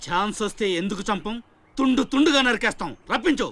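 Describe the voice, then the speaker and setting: Eager male film thug, high-pitched, creaky, rapid-fire villain delivery. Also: animated, fairly bright tone, exaggerated voice. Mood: menacing.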